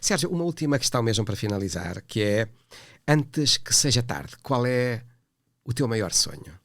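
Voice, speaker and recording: A man with a European Portuguese accent hosting a podcast, asking measured, articulate questions with occasional fillers. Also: medium pitch, big pitch swings, fairly bright tone, no echo, studio quality. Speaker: podcast host. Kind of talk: podcast interview.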